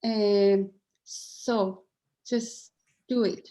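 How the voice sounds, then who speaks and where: A woman's voice: mid-range voice, fairly even intonation, very slow, mellow, rough recording. A middle-aged woman with a Cantonese accent, speaking candidly as an interview guest and often restarting her words. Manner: earnest and candid, frequent restarts and repeated words. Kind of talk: interview conversation.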